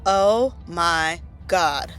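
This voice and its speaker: An actress playing an anxious, wry young amateur comedian in a podcast sitcom, speaking emphatically. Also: female voice, fairly low pitch, very slow, fairly bright tone, faint music behind. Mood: nervous excitement. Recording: faint background noise, vehicle ambience.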